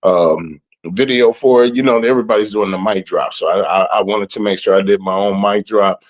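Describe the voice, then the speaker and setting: A raspy-voiced man in his thirties from the southern United States, a rapper and event planner, talking in a relaxed, rambling way with lots of "you know". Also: fairly low pitch, a little fast. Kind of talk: casual interview.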